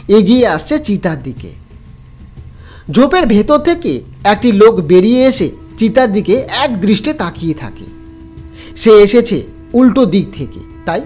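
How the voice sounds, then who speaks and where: Slow male horror storyteller over music. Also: sits high. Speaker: narrator. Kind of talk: dramatic horror story narration.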